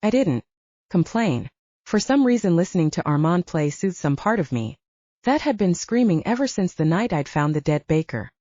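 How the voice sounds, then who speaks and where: Mellow, expressive female audiobook narrator. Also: fairly low pitch, normal speed, dry recording, dull audio. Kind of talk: first-person scripted fiction narration.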